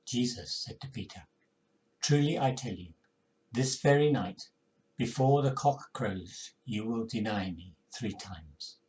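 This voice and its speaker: A man's voice: fairly low pitch, lively intonation, unhurried, a little mellow, a little hiss or hum, low-quality recording. Older man reading scripture solemnly. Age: older, 60s or 70s. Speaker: congregation member reading scripture. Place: living room at home, indoors.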